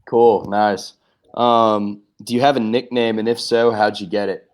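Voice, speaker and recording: A man's voice: fairly low pitch, steady tone, measured pace. A man with an American accent hosting a casual interview in a laid-back, affirming way. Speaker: interview host.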